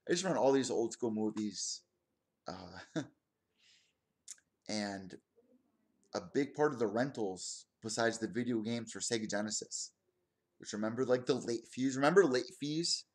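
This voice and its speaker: A young man in his twenties runs a solo stream, chatting straight to his audience. He rambles excitably from one aside to the next and keeps circling back to his point. His voice sits at an ordinary middle pitch for a man. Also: unhurried, long pauses. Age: 20s. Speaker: podcast host. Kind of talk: casual solo stream commentary.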